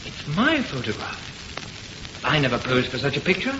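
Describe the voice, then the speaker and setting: An actor playing a brusque, skeptical gentleman, impatient and insistent, in an old-time radio horror drama. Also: medium pitch, huge pitch swings, normal speed. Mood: puzzled. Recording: noisy muffled old radio recording, roomy echo.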